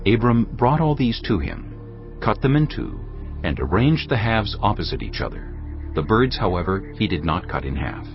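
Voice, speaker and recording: A man narrating scripture from a script in a measured, dramatic way. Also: on the low side, sing-song. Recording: slightly dull audio, music behind. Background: background music.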